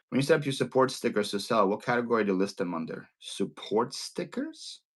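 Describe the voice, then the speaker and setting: A man with a Ukrainian Philadelphia accent hosting a casual live-selling stream, chatty and often repeating and restarting his words. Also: mid-range voice. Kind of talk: casual livestream teaching.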